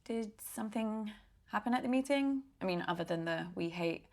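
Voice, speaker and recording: An actress playing an anxious young niece in an interactive film, speaking hesitantly with stammers. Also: female voice, medium pitch, expressive, normal speed, a little mellow, lightly acted. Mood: concerned. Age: young adult. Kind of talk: naturalistic film dialogue. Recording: background music under the voice.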